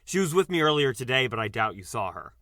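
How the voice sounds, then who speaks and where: A man's voice: mid-range voice, big pitch swings, fast talker, pushed and bright, no echo, clean studio sound. An actor playing an earnest, anxious, naive man among prisoners in an audio drama, hesitant and reactive.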